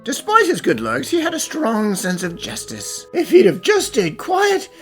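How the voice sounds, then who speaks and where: A woman's voice: sits high, very animated, normal speed, soft background music. A woman playing a gossipy, flippant male factory worker in an amateur anime fan dub, offhand and loose-tongued.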